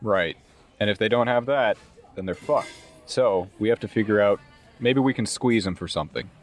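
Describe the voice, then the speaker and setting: A man with a slightly low voice plays a tabletop game. He explains plans methodically and casually, slipping in and out of character. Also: normal speed, faint music behind. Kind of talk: casual in-game planning and roleplay.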